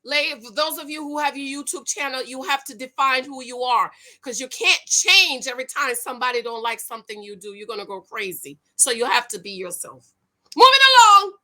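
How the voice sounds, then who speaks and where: A woman with a high voice that rings bright and sharp. She talks loud and excitable, her voice carrying, and she preaches in an animated way with lots of emphatic repetition. She reads a news story aloud and comments on it as she goes. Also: brisk. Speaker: YouTube commentator on money and marriage. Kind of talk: casual commentary reading and reacting to a news story.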